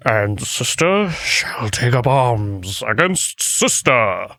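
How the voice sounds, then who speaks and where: Ghostly male knight, drawn-out declaiming delivery, lively pitch swings, grave and prophetic. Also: no echo, full character voice. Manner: portentous, declaiming. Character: solemn undead knight chanting archaic prophecy. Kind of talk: comic dub acting.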